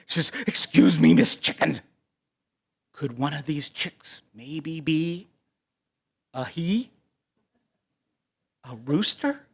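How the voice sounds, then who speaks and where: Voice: medium pitch, sing-song, slow pace, long pauses, breathy edge, exaggerated voice. A storyteller voicing a kindly, courteous and supportive pig from a farm storybook. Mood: polite. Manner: gentle, courteous.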